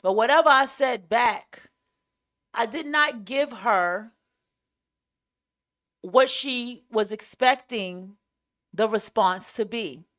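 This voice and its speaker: A woman with a New York accent and a raspy, carrying voice, giving a casual, opinionated monologue in a blunt, emphatic way as a podcast host. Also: a little high, takes it slowly, pauses often, fairly bright tone.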